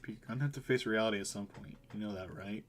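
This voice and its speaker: A man voicing a gentle, worried male mentor in a visual novel, soft-spoken, earnest and apologetic. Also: fairly low pitch, fast, slight background noise, voice pushed down, natural voice. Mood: hesitant, earnest. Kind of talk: visual novel dialogue read aloud.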